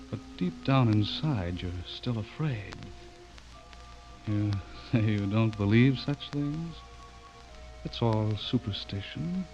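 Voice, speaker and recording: An actor playing an intense horror writer with an insinuating manner, in an old-time radio drama style. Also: male voice, on the low side. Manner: insinuating, menacing when reciting. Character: intense male horror writer, sinister when reading aloud. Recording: muffled old radio recording, loud music behind.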